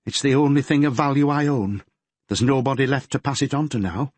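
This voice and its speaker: A man voicing a grieving middle-aged mother with a Yorkshire accent, who talks in a gentle, fretful and homely way. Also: medium pitch, fairly quick, no room sound, pitched above the performer's own voice, lightly acted. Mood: sorrowful. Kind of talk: audiobook character voice.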